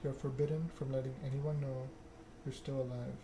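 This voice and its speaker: Menacing male vampire enforcer read by a man: formal, cold, flat delivery, mid pitch, noisy recording. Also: natural voice. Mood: cold, measured. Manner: measured monotone recitation, veiled threats. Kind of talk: video game dialogue read aloud.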